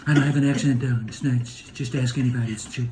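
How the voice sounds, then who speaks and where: A man's voice: mid-range voice, quick pace, slight background noise. A middle-aged man from New York with a New York accent, talking in a casual comic monologue and jumping between topics. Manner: animated, digressive, slips into voices. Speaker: vlogger.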